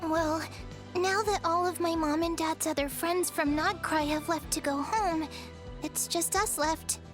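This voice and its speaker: A woman voicing a gentle, unsure little girl in a fantasy game, speaking in an earnest, hesitant, childlike way. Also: very high voice, fairly even intonation, measured pace, over music. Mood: earnest, wistful.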